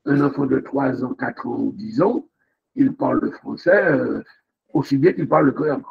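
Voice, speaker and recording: A man's voice: mid-range voice, lively intonation, normal speed, creaky, muffled recording. A man speaking as a jury member at a thesis defense, making emphatic points with rhetorical questions over a remote call. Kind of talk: academic remarks at a thesis defense.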